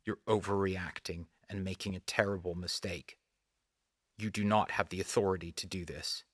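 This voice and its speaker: A man's voice: sits low, steady tone, normal speed, fairly bright tone, dry recording, clean studio sound. A man voicing a formal, seething holographic executive with a clipped, legalistic manner in an audiobook. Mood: tense, protesting.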